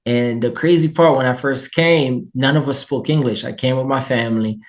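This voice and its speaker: This is a man with a Haitian accent, a guest talking through his journey with upbeat energy. His pitch sits in an ordinary middle range. He laughs easily and tells his story in a loose, casual way. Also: moderate pace. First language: Haitian Creole and French.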